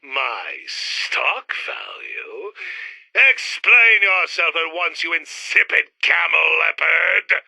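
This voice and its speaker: Casting: pompous alien villain scientist, gravelly and sharp, wildly swooping delivery, retro radio serial. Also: male voice, fairly high pitch, big pitch swings, slow, full character voice. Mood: alarmed, indignant. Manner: theatrical, grandiose, indignant.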